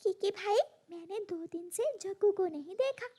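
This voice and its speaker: A kind little girl bird from a children's cartoon, played by a woman. The voice is extremely high, with a polite, earnest and helpful manner. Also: moderate pace, warm tone. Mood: earnest concern. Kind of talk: Hindi animated moral story acting.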